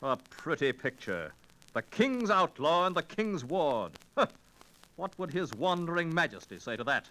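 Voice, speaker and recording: A haughty, impatient villain knight in an old radio play. His voice is fairly high, forceful and highly animated, full of mockery. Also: a little slow, full character voice, poor audio. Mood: mocking. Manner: haughty, mocking. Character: impatient, sneering villain knight and unwanted bridegroom. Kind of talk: 1940s radio drama acting.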